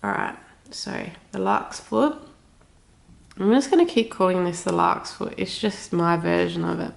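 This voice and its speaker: Snuffly, creaky-voiced woman teaching crochet. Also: lowish voice, animated, unhurried. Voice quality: snuffly, slightly stuffed-up nose. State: has a cold. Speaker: crochet tutorial host. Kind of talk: casual step-by-step craft tutorial.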